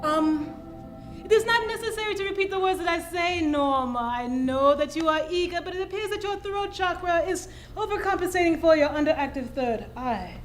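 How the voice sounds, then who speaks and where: A woman playing a serene, condescending new-age yoga instructor in a comedy sketch, with airy, pompous, drawn-out delivery. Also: very high-pitched, animated, faint music behind. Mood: serene, patronizing.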